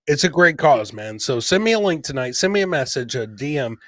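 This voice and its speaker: A man's voice: mid-range voice, very animated, fairly quick, dry recording. A man hosting a casual livestream, blunt and emphatic, repeating himself and chummily dropping "man" and "brother". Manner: blunt, profane, emphatic and repetitive. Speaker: podcast host.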